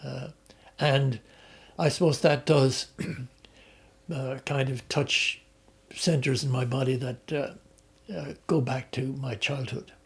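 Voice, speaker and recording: An older man with an Irish accent, talking about his life at an unhurried pace, often pausing to restart his sentences. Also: mid-range voice, steady tone, gravelly. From Ireland. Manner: hesitant, with many restarts and fillers. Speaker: interviewee. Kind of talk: reflective conversational storytelling.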